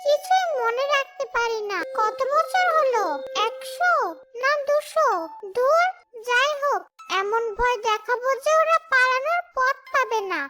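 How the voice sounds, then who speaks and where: A woman's voice: extremely high pitch, expressive, unhurried. A woman voicing a grumpy, forgetful old female ghost who mutters schemes to herself in a cartoon, with music behind her. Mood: brooding, muddled. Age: older. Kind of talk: cartoon horror-comedy acting.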